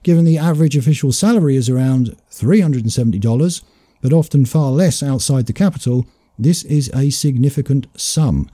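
Guy reading out a news bit, warm dark voice, pretty dry close sound. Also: mid-range voice, brisk, no echo. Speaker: host. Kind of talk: reading a news report aloud.

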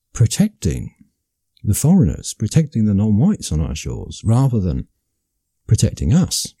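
Dark husky-voiced man, impassioned. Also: deep voice, dry recording. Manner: impassioned, emphatic, with frequent restarts. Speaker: commentator. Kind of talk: solo commentary monologue.

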